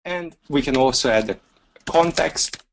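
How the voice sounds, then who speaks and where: Man with a Hungarian accent explaining software. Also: a little high, a little slow, creaky, slight background noise. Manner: relaxed step-by-step explaining with fillers. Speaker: software trainer. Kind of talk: webinar screencast demo.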